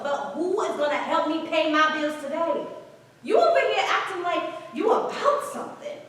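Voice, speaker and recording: A brash, practical aspiring actress in a stage play. Her voice is high and sharp, with a mocking, emphatic edge. It rings from some distance in a very reverberant room. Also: exaggerated voice. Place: indoor performance space with live stage reading. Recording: echoey hall, distant mic.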